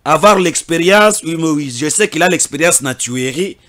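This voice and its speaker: High-voiced emphatic commentator man. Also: lively intonation, normal speed. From DR Congo. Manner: emphatic, swinging between loud declarations and low muttering asides; repeats words and phrases for emphasis. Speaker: political commentator. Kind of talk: political commentary monologue.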